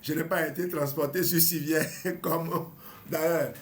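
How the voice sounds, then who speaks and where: Host, man, 50s: somewhat high voice, reads from a book then comments loosely with restarts. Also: steady tone, unhurried, slight background noise. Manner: hesitant, with restarts and small laughs. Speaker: health channel host. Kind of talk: reading a book aloud with commentary.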